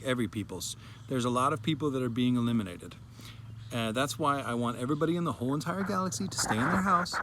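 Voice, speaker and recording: Animated man reading dramatically, noisy recording. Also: fairly high pitch. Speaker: parent reading a child's story aloud. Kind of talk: dramatic reading of a child's written rant.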